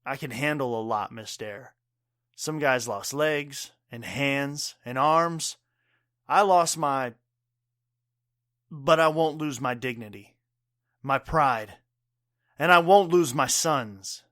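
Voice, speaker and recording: A man's voice: mid-range voice, takes it slowly, long pauses, fairly bright tone, no room sound, clean studio sound. An actor playing a proud, wounded war veteran father and bartender in an audio drama, speaking earnestly and tersely. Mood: pained, proud.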